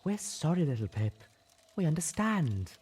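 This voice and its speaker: A gentle yet firm mare played by a man, a storybook medic. The voice is high and soft, with a measured, reasoning manner that can hold its ground. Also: big pitch swings, slightly rough, heavily acted. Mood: gentle, consoling. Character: gentle but firm female pony medic.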